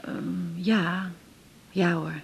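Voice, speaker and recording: An actress from the Netherlands playing a homely, fussing, lonely older mother in a Dutch radio drama, with a husky voice. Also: on the low side, flat delivery, drawn-out words, full character voice. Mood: reserved, fond. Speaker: actor playing the doctor's mother. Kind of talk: naturalistic radio drama dialogue.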